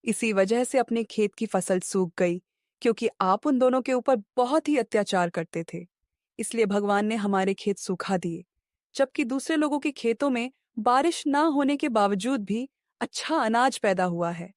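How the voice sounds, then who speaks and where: Cartoon wife role: anxious, pious village mother, played by a woman, slightly high voice, earnest and reproachful, dry studio sound. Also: expressive, moderate pace, no room sound, close to an everyday voice. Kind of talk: Hindi moral cartoon story acting.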